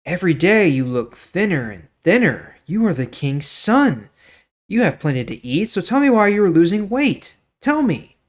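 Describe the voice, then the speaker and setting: A man's voice: high-pitched, very animated, moderate pace, pitched above the performer's own voice. A man voicing a prince's clever, scheming friend, coaxing and insistent, in a dramatized scripture reading. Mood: probing.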